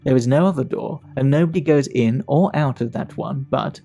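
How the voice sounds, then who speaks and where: A man playing a worldly, talkative Victorian English gentleman in an audio drama, speaking in a measured, gossipy, fastidious way. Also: medium pitch, gravelly, faint music behind. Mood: measured, confiding.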